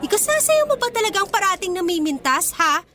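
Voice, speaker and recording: A woman's voice: very high voice, very animated, fairly quick. A Filipino woman playing a poor, proud and kind schoolgirl in an emotional, earnest radio drama style. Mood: defiant.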